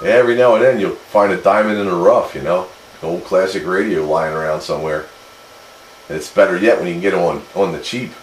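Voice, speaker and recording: A low-pitched man talks casually and matter-of-factly, with folksy phrasing. His voice comes over a CB radio link with a roomy echo and faint noise behind it. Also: brisk. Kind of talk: casual radio hobbyist chat. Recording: echoey, noisy CB radio transmission.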